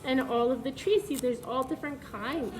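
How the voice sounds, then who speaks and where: A woman preschool teacher reading a picture book aloud to young children, pausing to ask them questions in an instructive way. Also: high-pitched, a crowd in the background, poor audio.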